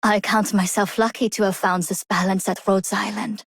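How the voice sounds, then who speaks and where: A woman playing a serious, composed researcher in a video game, measured and formal. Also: medium pitch, little pitch movement, creaky, a little hiss or hum, lightly acted. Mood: composed, reflective. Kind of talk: video game character voice acting.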